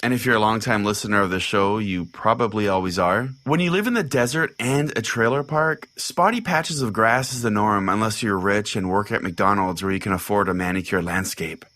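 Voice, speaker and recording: A man's voice: on the low side, bright, forceful tone. A man playing an irritable, foul-mouthed pastor who hosts a comedic call-in radio show, sarcastic and theatrical in his delivery. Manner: exasperated, sarcastic, theatrical storytelling.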